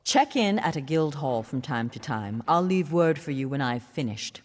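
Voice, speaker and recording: Lowish, expressive scholarly mage in reverb. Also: female voice, normal speed. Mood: earnest. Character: earnest, scholarly female mage translator. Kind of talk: fantasy video game dialogue. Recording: long hall reverb.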